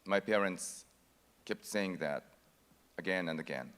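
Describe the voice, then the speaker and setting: A very low-voiced man addresses a large conference hall. He tells a personal story at a measured pace, with pauses, and the long hall reverb trails behind his words. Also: lively intonation, a bit bright, smooth. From Japan. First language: Japanese. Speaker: actor giving a conference talk. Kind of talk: prepared conference speech.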